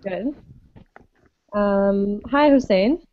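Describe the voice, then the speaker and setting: A woman who teaches English, leading an online group class in a patient, friendly way with many pauses and ums. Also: mid-range voice, no room sound. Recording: dull lo-fi web call audio.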